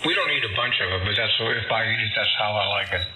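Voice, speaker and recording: A man's voice: on the low side, fast, sharp tone. A man chatting casually with friends on amateur radio. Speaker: amateur radio net participant. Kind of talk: casual group chat on ham radio. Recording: roomy echo, faint noise, radio chat.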